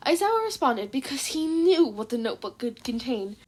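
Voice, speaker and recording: High-pitched, animated woman reading a story. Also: brisk. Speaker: fan fiction reader. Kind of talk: expressive story narration.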